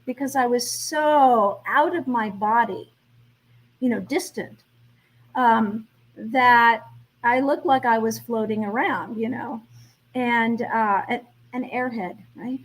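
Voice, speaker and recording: A woman's voice: on the high side, slow pace, low-quality recording. A middle-aged woman being interviewed as a guest, speaking reflectively and laughing lightly between thoughts. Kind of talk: interview conversation.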